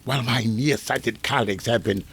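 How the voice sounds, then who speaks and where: Sinister, raspy, grandiose radio-drama doctor. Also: male voice, medium pitch, fairly bright tone. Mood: grandiose, intense. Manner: formal, theatrical, boastful, deliberately secretive. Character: sinister grandiose doctor claiming to raise the dead. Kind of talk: 1950s radio drama acting.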